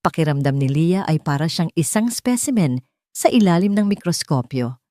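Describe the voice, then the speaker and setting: A woman's voice: deep voice, animated, moderate pace, dark tone, no room sound, studio quality. A woman reading a scripted romance story as a dramatic audiobook narrator.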